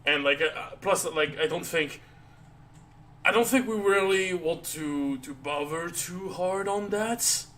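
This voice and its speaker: A man's voice: sits high, a little hiss or hum. Tired, rambling man streamer. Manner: rambling, halting, many restarts and fillers. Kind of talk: casual live stream chat. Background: soft background music.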